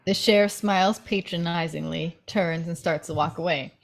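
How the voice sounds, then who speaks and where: A woman's voice: lowish voice, fairly even intonation, moderate pace, gravelly, low-quality recording. A woman reading screenplay stage directions as a narrator in a dramatic scripted style.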